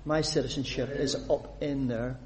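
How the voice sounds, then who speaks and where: Lively preacher from Northern Ireland. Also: male voice, medium pitch, normal speed, crowd noise. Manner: animated, lively audience questions, reads scripture aloud. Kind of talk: sermon. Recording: long hall reverb.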